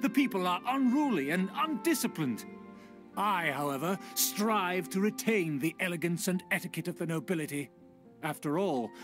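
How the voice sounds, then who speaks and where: A man's voice: fairly high pitch, fairly bright tone. An actor playing a haughty, disdainful nobleman from a fading clan, talking in a pompous, lecturing way over game music. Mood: scornful. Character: haughty aristocratic man of a declining noble clan.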